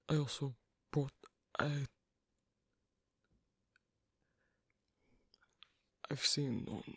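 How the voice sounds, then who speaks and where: Someone acting a halting, whispery, shy werewolf. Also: mid-range voice, no echo, clean studio sound, exaggerated voice. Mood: awkward, hesitant. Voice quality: breathy, husky, soft. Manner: halting, hesitant, awkward, terse. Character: shy, socially awkward werewolf on a first date. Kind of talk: ASMR roleplay, soft intimate acting.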